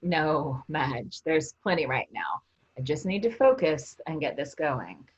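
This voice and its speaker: A woman's voice: low-pitched, very animated, measured pace, slightly rough, full character voice. A woman playing a practical, teasing member of a travelling theater troupe in a staged play reading. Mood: busy, testy. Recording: dull, lo-fi sound.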